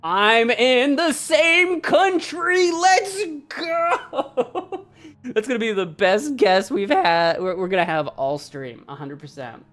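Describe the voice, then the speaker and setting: A woman streaming a game, chatting casually, cracking jokes, laughing often and thinking out loud. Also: on the high side, lively intonation, slow pace, faint music behind. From United States. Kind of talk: casual live-stream commentary.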